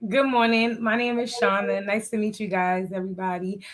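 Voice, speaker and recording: A woman's voice: mid-range voice, steady tone, moderate pace. Plainspoken middle-aged woman from Boston. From Boston, USA. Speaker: talk show guest. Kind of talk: candid personal interview talk.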